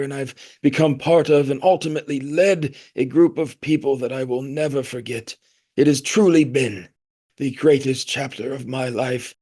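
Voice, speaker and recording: An actor playing a pompous, nostalgic, middle-aged leader of a secretive group in an audio play, speaking in a grandiose, rambling way. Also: male voice, mid-range voice, mellow, close to an everyday voice.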